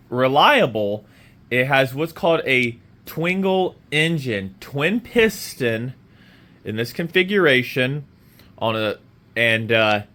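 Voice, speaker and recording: Guy with a sore throat in his garage, kinda rambly, voice not too high not too low. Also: bright, forceful tone. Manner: rambling, with many fillers and restarts. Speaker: motorcycle restoration vlogger. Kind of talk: casual walkaround vlog. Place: home garage.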